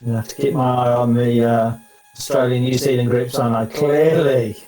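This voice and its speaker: A man hosting an online camp, moving briskly from one coach to the next with upbeat remarks. His voice sits at an ordinary pitch and carries a noticeable room echo. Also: steady tone, mellow. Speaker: host introducing coaches.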